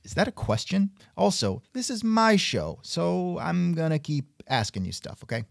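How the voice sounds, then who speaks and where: A man's voice: on the high side, big pitch swings, normal speed, creaky, dry recording, studio quality. A man hosting a scripted comedic interview show, sardonic and increasingly exasperated. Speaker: podcast host.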